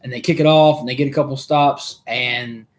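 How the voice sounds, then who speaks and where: A man's voice: mid-range voice, fairly even intonation. Man, podcast co-host, casual chat, rambling anecdotes, mid-range voice. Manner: rambling storyteller with quick asides.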